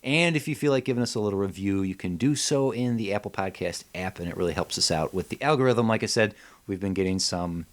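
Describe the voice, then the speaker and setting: A man's voice: on the low side, quick pace. A man hosting a casual comedy podcast, joking and exclaiming as he chats. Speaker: podcast host.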